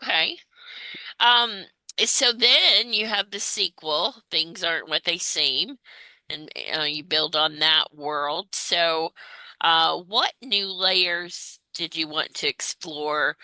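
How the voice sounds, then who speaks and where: Female interviewer, low-pitched and very crisp-edged, reflective and conversational, recorded close and dry. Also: slow pace, pushed and bright, no room sound, rough recording. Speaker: interviewer, host.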